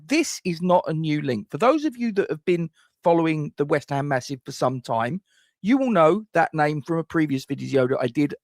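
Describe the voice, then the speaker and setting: A man's voice: a little high, vocal fry, no echo, clean studio sound. A middle-aged man hosts a football fan channel, giving scripted transfer rumour commentary with wry, self-mocking jokes.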